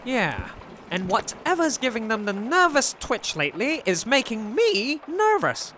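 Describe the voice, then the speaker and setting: A woman's voice: fairly high pitch, sing-song, a little slow, fairly bright tone, a little hiss or hum. A woman playing a wry, feisty country woman in an audio drama, talking at length in folksy, colorful phrases. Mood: wary, firm. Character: garrulous, wry, feisty country woman telling old tales. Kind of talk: audio drama acting, storytelling.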